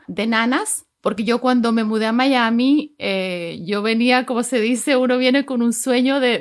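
Heartfelt woman guest, fairly high voice. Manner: heartfelt, meandering. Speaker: actress guest. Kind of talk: conversational interview answer.

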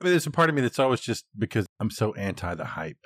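A man's voice: fairly low pitch, big pitch swings, fast, a bit bright, slightly rough, dry recording, clean studio sound. A man co-hosting a podcast in casual banter, given to exasperated, exaggerated ranting. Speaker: podcast co-host.

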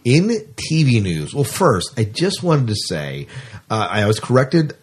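A man's voice: mid-range voice, sing-song, unhurried, slight background noise. A middle-aged man hosting a podcast, chatting informally and reading out entertainment news with a fan's enthusiasm. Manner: informal, enthusiastic fan talk. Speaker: podcast host.

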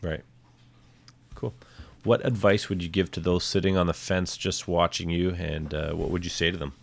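A man hosting a podcast, asking a guest quick questions in a relaxed, casual way. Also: low voice, creaky, slight background noise. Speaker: podcast host.

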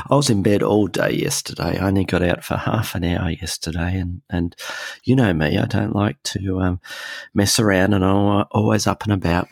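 A man's voice: low voice, vocal fry, husky, no room sound. A man co-hosting a podcast, sounding congested from a cold, chatting casually with lots of ums and restarts. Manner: rambling, many ums and restarts. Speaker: podcast co-host. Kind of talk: casual chat. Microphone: podcast microphone.

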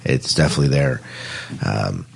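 Very deep, husky male podcast host. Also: normal speed. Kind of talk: casual podcast chat.

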